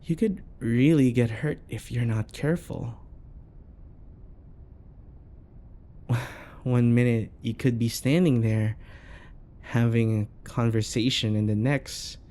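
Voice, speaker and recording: A man acting a possessive, jealous yandere boyfriend in an intimate ASMR roleplay, coaxing and passive-aggressive with sweet pet names and quiet menace. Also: mid-range voice, unhurried, lightly acted. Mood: jealous, controlled.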